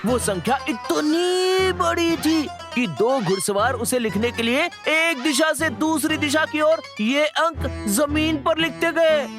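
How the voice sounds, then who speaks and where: A woman's voice: sits high, slow, hardly any pauses. A woman playing a playful, wise male guide who teaches children in a theatrical, encouraging way in an educational cartoon. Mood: storytelling. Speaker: storytelling teacher. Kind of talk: animated educational cartoon narration. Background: background music.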